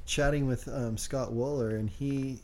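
A man co-hosting a casual podcast, speaking quietly and hesitantly with lots of fillers. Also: medium pitch, steady tone, a little slow, slight background noise. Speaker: podcast co-host.

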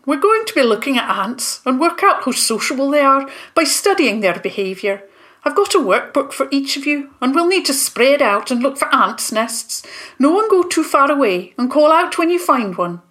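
A woman reading aloud plays a cheerful female teacher who gives instructions in a bright, raised voice. She is brisk and instructive. The voice belongs in a children's storybook. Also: high-pitched, very animated, pitched above the performer's own voice.

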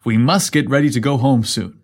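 A man's voice: mid-range voice, fairly quick, lightly acted. A man voicing a flustered, polite male schoolteacher who stammers and fumbles over his words in a story. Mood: grateful. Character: flustered, eager male elementary school teacher, gushing politely.

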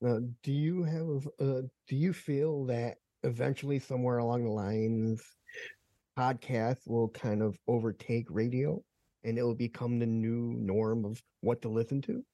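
A male interviewer asks short, direct questions in a mid-pitched voice. His tone is mellow and relaxed. The recording is dry. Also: measured pace, dry recording.

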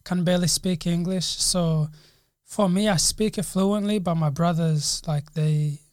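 A man's voice: high-pitched, unhurried, no echo, clean studio sound. Easygoing young man from Congo. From Congo, raised in Uganda. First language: Kinyarwanda. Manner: easygoing, talks in short loose phrases with many likes and yeahs. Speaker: podcast guest, nurse. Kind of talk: casual interview.

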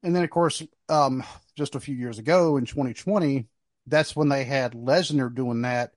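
A man's voice: medium pitch, moderate pace, no room sound. A man hosting a sports podcast, chatting casually and giving his opinions in a conversational way. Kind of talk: casual wrestling discussion.

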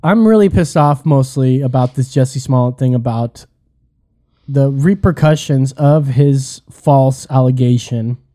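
A man's voice: medium pitch, unhurried, dark tone. A man co-hosting a casual talk show, trading heated political banter in a blunt, profane, combative way. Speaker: podcast co-host.

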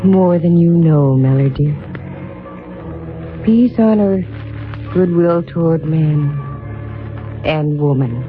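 An actress playing a worldly, affectionate female detective in a 1950s radio drama, poised and deliberate. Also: deep voice. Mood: tender, earnest. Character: worldly, warm-hearted female private detective. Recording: tinny old radio recording with music.

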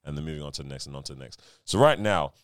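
A man's voice: extremely low pitch, lively intonation, racing, clean tone, no echo, clean studio sound. A man co-hosting a podcast, talking casually in slangy, conversational phrases and circling back over his thoughts. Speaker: podcast co-host. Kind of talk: casual chat.

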